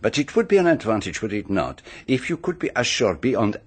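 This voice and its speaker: An actor playing a shrewd foreign detective, measured and formal, in a radio drama. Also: male voice, fairly low pitch, sing-song, breathy edge, no echo. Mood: courteous, firm. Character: shrewd, courteous foreign male detective, inwardly brooding. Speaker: detective narrating his own case. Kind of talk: radio drama narration and dialogue.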